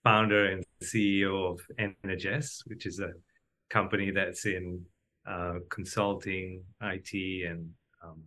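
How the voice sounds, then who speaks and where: A man's voice: low voice, flat delivery, measured pace, sharp tone, rough recording. A middle-aged man from Malaysia giving reflective, conversational answers as an interview guest. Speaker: podcast guest, doctor turned entrepreneur.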